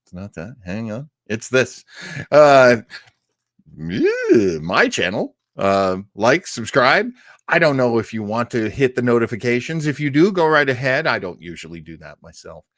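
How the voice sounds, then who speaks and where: A man's voice: medium pitch, huge pitch swings, measured pace, fairly bright tone, dry recording, clean studio sound. A man hosting a casual live stream about old radio, rambling and often breaking off to search for his words. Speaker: online show host.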